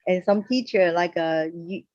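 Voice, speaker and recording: A woman's voice: fairly low pitch, unhurried, darkish tone, no room sound. A woman from Taiwan, a primary school teacher, speaking hesitantly with many fillers in an online meeting. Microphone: video call. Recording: muffled dry video call audio.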